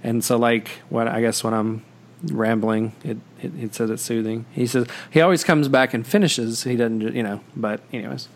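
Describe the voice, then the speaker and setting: A man's voice: mid-range voice, fairly even intonation, normal speed. A man hosting a podcast in casual chat, rambling with many fillers and restarts. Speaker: podcast host.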